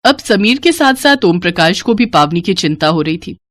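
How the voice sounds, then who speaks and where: A woman's voice: lowish voice, lively intonation, normal speed, a bit bright. A woman reading a scripted story as its narrator.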